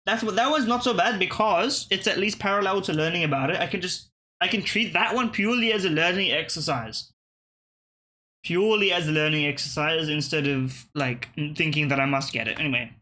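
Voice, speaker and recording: A man's voice: high-pitched, brisk, bright, forceful tone, rough recording. A young man casually thinking aloud and swearing while playing geography web games. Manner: thinking aloud, profane, muttering and restarting. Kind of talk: casual gameplay commentary.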